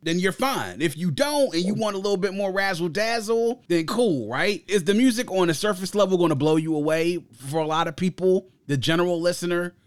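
A man's voice: high voice, fairly quick, few pauses. A man hosting an album review, giving reflective, opinionated commentary in a conversational way and explaining his points at length. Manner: reflective, explains through extended analogies. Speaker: host of an album review discussion.

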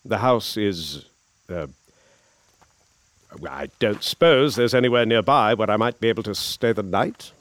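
A man's voice: fairly low pitch, lively intonation, unhurried, pauses often, fairly bright tone, no room sound. An actor playing a polite young war veteran who narrates his journal and stammers hesitantly, in a radio drama. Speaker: narrator and lead character.

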